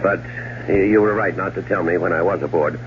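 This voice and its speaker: A deep-voiced, outwardly grieving widower played by a male actor in a 1970s radio drama. He speaks with measured, halting politeness, smooth but evasive and calculating. The old broadcast is muffled, with noticeable hum. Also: close to an everyday voice. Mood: polite, flustered.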